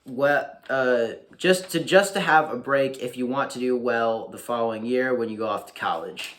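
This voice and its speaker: A young American man chatting casually to his viewers in a halting, informal way, thinking aloud as he goes. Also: medium pitch, fairly bright tone, slight background noise. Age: young adult. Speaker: YouTuber. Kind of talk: casual vlog chat to viewers. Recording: music playing behind the voice. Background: background beat music.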